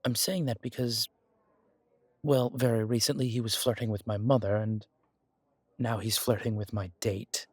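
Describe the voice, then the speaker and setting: A man playing a charming, smitten mafia boss on a date in an intimate, conversational audio roleplay, teasing and earnest with a husky voice. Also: lowish voice, steady tone, moderate pace, long pauses, a little mellow, dry recording. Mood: playful, bantering.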